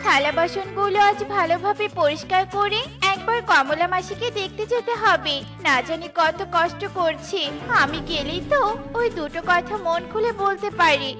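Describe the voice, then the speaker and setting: A woman's voice: extremely high pitch, moderate pace, over music. Woman playing a tiny-voiced, kind little bird. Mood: sad, wistful. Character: kind-hearted, earnest young female bird. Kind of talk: children's cartoon voice acting.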